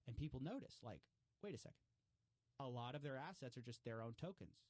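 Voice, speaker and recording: A man's voice: mid-range voice, animated, a little fast, a little mellow, no room sound. A man talking casually as a podcast guest, explaining and telling stories in a conversational way. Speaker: podcast guest, investigative journalist.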